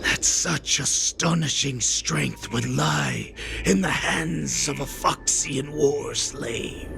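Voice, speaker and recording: An actor voicing a proud, defiant wolf-beast warrior in a video game, with a gravelly, breathy growl and a solemn manner. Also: male voice, fairly high pitch, full character voice. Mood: grim awe. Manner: slow, solemn, menacing. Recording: music behind the voice. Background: game music.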